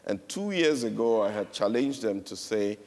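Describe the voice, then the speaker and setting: A man's voice: fairly low pitch, lively intonation, normal speed, darkish tone. A man from Cameroon speaking on a panel, telling a story with emphatic repetition. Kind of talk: panel speech. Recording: echoey hall.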